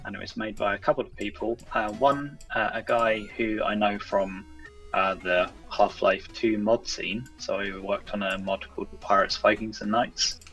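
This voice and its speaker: A man's voice: on the low side, steady tone, moderate pace, fairly bright tone, soft background music, low-quality recording. A man chatting casually as a guest game developer in an interview.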